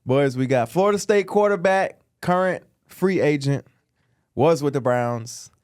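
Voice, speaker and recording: A man's voice: on the high side, expressive, unhurried, a little mellow, clean tone, no echo, clean studio sound. A man co-hosting a casual sports podcast, talking in slangy, hyped buddy talk. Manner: slangy, hyped, says bro and man often. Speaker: podcast co-host.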